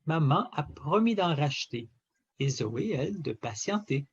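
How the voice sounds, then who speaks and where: Man, children's storybook narrator, slow pace, lively swooping intonation, emphatic, dull lo-fi recording. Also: on the high side, slightly rough. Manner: animated, theatrical delivery with emphatic phrasing. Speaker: storybook reader.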